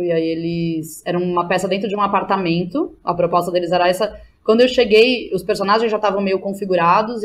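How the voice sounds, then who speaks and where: A woman with a São Paulo Brazilian accent talking informally and slangily as a podcast guest, thinking aloud and often checking in with the listener. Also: lowish voice. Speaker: interview guest, playwright and theatre educator. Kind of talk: casual podcast interview.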